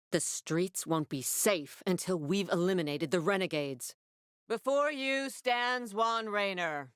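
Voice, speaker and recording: A woman's voice: on the low side, smooth, no echo, clean studio sound. A woman playing a tough, commanding, foul-mouthed military officer in a video game, with a clipped, no-nonsense delivery. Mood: stern, dismissive, then formal, solemn.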